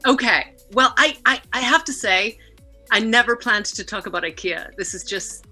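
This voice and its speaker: A middle-aged woman from Canada chats as a podcast guest over a video call. Her voice is bright and forward, and she talks in a lively, animated way with faint music behind her. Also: fairly high pitch, moderate pace. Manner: lively, laughing, animated storyteller. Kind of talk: casual interview chat.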